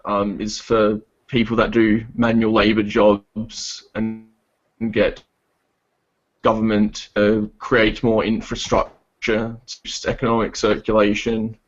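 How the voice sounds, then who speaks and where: This young man speaks low and flat, barely moving his pitch as he works through his thoughts with many pauses and restarts. He comes through a dull, lo-fi online call line. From Australia. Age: 20s. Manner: hesitant, many ums and restarts. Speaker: guest. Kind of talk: casual podcast chat.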